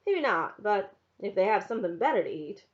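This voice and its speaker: A practical, no-nonsense frontier mother, as a woman reads her lines, firm and brisk even when she is tired. The recording sounds a little dull and muffled. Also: mid-range voice, lightly acted.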